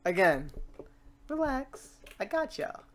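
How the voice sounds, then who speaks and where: A woman hosting a casual software tutorial, talking informally and reassuringly to the viewer in an animated way. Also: mid-range voice, slow. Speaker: tutorial host.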